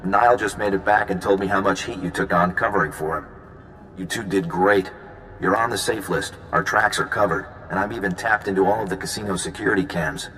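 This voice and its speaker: An actor playing an easygoing, smooth-talking, flirtatious male intelligence agent in a video game, casual and breezy. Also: low voice, a little fast, pushed and bright, soft background music. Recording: long reverb, faint background noise.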